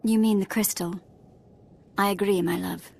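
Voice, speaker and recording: A woman's medium-pitched voice as a young Jedi student in a video game. She is proud, intense and guarded, with formal wording. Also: fairly even intonation, normal speed, vocal fry, a little mellow. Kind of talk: scripted video game acting.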